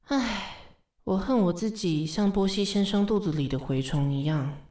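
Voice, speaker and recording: A woman's voice: mid-range voice, moderate pace, dark tone, no room sound, lightly acted. A weary, sarcastic female assistant voiced by an actress with a Taiwanese accent. She sits in a middle register and needles with teasing, wry remarks. The radio drama recording is very dry. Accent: Taiwanese Mandarin. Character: sardonic, long-suffering female assistant to a fashion director. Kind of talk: radio drama acting with inner monologue.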